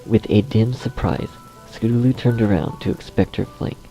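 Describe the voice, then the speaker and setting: A man narrates a horror story from a script. His voice is low and dark, with a gravelly fry in it. Quiet music plays behind him. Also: normal speed, rough recording.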